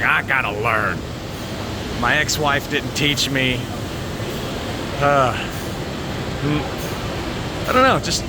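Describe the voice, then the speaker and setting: An American man chatting casually and candidly to viewers, rambling and haltingly, with plenty of swearing. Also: fairly high pitch. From United States. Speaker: vlogger.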